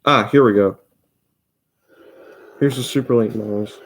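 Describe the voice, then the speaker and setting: A man's voice: mid-range voice, measured pace, slight background noise. A fourteen-year-old boy with a Midwestern accent, casually rambling about racing while showing replays. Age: teens. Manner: rambling, self-interrupting, chatty. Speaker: racing video host. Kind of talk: casual commentary over race replays.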